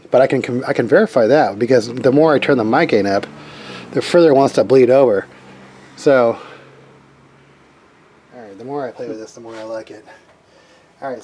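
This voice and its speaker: A man's voice: medium pitch, dark tone, a little hiss or hum. A man giving a casual hands-on tech demo as a hobbyist, talking informally and rambling as he thinks aloud. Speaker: hobbyist radio operator demonstrating equipment.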